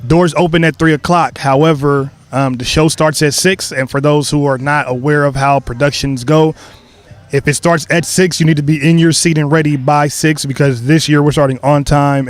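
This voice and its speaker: A man talking casually in an interview, relaxed with many fillers and a cleared throat now and then. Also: a little high. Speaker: creator of a stage play, interview guest.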